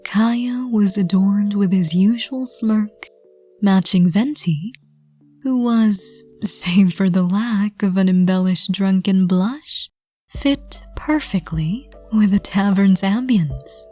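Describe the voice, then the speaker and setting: Very slow, breathy woman narrator. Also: mid-range voice, faint music behind. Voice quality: soft, breathy, whispery. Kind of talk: scripted audio roleplay narration.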